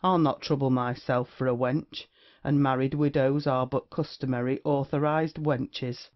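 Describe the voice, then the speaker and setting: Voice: medium pitch, steady tone, unhurried, lightly acted. A performer playing a brash, witty young male rake in a period comedy audio play, with archaic, swaggering, flippant speech. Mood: flippant, boastful. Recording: dull, lo-fi audio.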